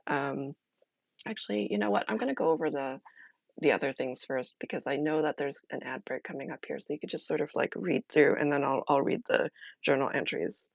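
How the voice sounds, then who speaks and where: A woman streamer chatting casually as she explains a solo role-playing game, halting and thinking aloud. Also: low voice, gravelly, dry recording, muffled recording.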